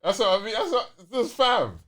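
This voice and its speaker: A man's voice: high voice, big pitch swings, normal speed, sharp tone. A young man with a London accent chatting casually and enthusiastically on a gaming podcast, using plenty of slang.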